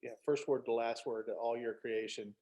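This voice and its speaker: Male author guest, medium pitch, casual anecdotal chat with a joking, self-deprecating streak. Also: fairly even intonation, moderate pace, mellow.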